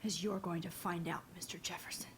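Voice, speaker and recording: A woman playing an earnest, quietly brave teenage girl in a video game, speaking softly and sincerely with a hushed delivery. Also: on the low side, steady tone, brisk, slight background noise, close to an everyday voice. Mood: quietly pointed. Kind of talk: video game voice acting, soft introspective delivery.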